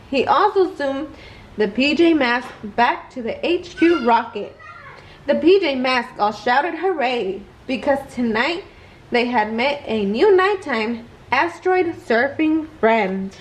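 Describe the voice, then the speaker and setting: A woman reading a children's story aloud in an expressive bedtime read-aloud style. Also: sits high, a little slow. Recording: clear background noise.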